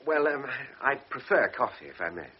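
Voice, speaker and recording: Bashful young lieutenant, stammering, vintage radio drama. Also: male voice, medium pitch, big pitch swings, normal speed, breathy edge. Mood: awkward. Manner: hesitant, stammering. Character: young army lieutenant, shy and awkward. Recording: dull old radio recording with music.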